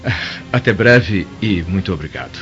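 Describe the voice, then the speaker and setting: An actor playing a dignified, courteous Roman senator in a Brazilian radio soap, speaking in a formal, stately way. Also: male voice, low voice, expressive, normal speed, fairly bright tone. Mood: polite. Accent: Brazilian Portuguese. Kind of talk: radio soap opera acting. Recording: dull lo-fi radio drama, music behind.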